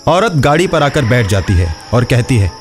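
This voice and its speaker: A man with a medium-pitched voice narrates a horror tale. It is scripted storytelling, with faint music behind the voice. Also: very animated, normal speed.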